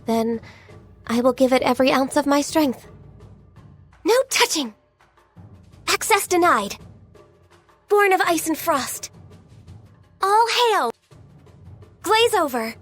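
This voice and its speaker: A woman voicing a shy, gentle, diligent half-Qilin secretary in a video game, with polite, earnest, soft-spoken delivery. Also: on the high side. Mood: reluctant, resolute. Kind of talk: video game character voice lines.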